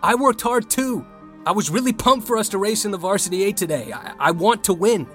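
A man's voice: high voice, expressive, a little fast, fairly bright tone, faint music behind. An actor playing an earnest, conflicted young college rower in an audio drama, a young adult man with an American accent. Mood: pleading. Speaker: lead character and narrator. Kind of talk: audio drama acting with first-person narration.

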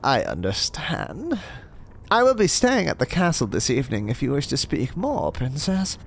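A man's voice: mid-range voice, huge pitch swings, normal speed, creaky. A man playing a proud, oily mage suitor in a fantasy audio drama, formal and self-important. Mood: stiffly polite. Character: pompous, oily young mage suitor with touchy pride. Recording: faint background noise.

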